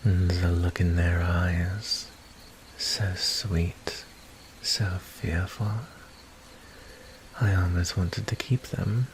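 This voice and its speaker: A man voicing a calm, menacing vampire who toys with his prey, narrating an ASMR roleplay in a deliberate, hushed voice that slips into whispers. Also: low-pitched, a little hiss or hum, full character voice, poor audio. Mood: hungry, savoring. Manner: slow, deliberate, hushed delivery slipping into breathy whispers.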